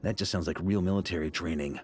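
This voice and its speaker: An actor playing a talkative, good-humored middle-aged knight grandmaster in scripted video game dialogue, with a theatrical way of chatting. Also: male voice, sits low, fairly even intonation, fast talker, faint music behind, lightly acted. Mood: chatty, enthusiastic.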